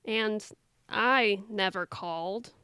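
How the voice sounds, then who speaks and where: A woman's voice: medium pitch, slow pace, bright, forceful tone, smooth, no room sound, clean studio sound. A woman acting in an audio drama as an anxious, self-doubting younger sister who has come home to her family. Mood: nervous, self-doubting.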